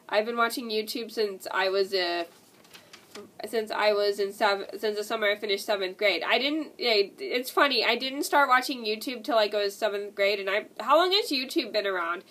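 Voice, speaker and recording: A woman's voice: medium pitch, fairly bright tone. A young woman vlogging with a stuffy nose from a cold, rambling and repeating herself with lots of "like" and "and stuff". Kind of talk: casual talk to camera.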